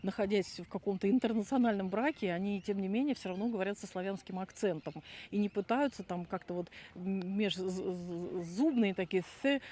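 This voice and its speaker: A woman's voice: medium pitch, a little fast, mellow. A middle-aged woman co-hosting a casual vlog, chatty and talking straight to her viewers. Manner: chatty, addresses viewers directly. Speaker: channel co-host.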